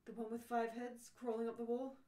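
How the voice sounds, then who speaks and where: A bewildered, gloomy space castaway from a radio comedy, read by a woman, with a fairly high voice. The sound is roomy and echoey. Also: level tone, fairly quick, mellow, lightly acted. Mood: gloomy, puzzled. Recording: echoey room.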